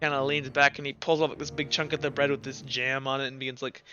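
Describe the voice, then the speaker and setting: A man improvising narration as game master of a tabletop game, casual and halting with lots of fillers. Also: medium pitch, little pitch movement, pushed and bright, highs cut off, soft background music.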